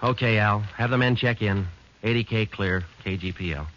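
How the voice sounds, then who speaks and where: Male actor: hard-boiled detective narrator, deep and clipped, old radio sound. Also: normal speed, close to an everyday voice. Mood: flat. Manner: flat, clipped, matter-of-fact delivery. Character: terse male police sergeant narrating his case. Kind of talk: 1940s radio drama acting. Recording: muffled, hissy old radio broadcast.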